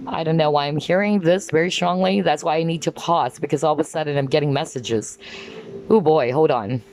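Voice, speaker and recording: A woman giving a rambling spiritual card reading, filling her talk with "like" and "um" as she pauses to pick up messages. Her voice is going hoarse. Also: deep voice, a little mellow, slight background noise. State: losing her voice. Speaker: tarot card reader.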